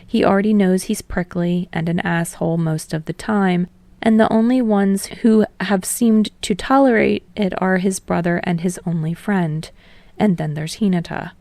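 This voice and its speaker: A woman's voice: medium pitch, creaky, dark tone, no echo. A woman reading fiction aloud as a scripted audiobook narrator.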